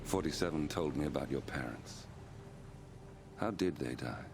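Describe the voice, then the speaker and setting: An actor playing a weary, guarded middle-aged mercenary in a video game, speaking gravely and tersely. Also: male voice, a bass voice, moderate pace, noisy background. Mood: somber. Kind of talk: cinematic video game dialogue.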